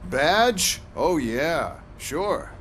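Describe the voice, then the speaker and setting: An actor playing a smug, scheming corporate police boss with a condescending, sarcastic manner. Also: male voice, fairly high pitch, big pitch swings, extremely slow, fairly bright tone, a little hiss or hum, heavily acted. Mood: smug, commanding.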